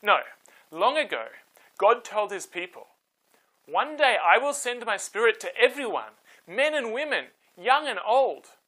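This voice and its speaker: Bold, earnest apostle addressing a crowd, voiced by a male storyteller, emphatic and very animated, storybook style. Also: high-pitched, measured pace, pitched above the performer's own voice, exaggerated voice, rough recording. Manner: emphatic, declarative.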